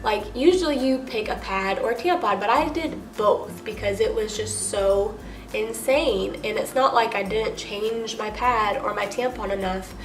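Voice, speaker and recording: A woman's voice: medium pitch, measured pace. A young American woman with a nasal, stuffed-up voice from a cold, telling a personal story as a vlogger in a chatty, emphatic way full of filler "like". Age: young adult. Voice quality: nasal, congested. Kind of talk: casual personal storytime to camera. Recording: roomy echo with music underneath.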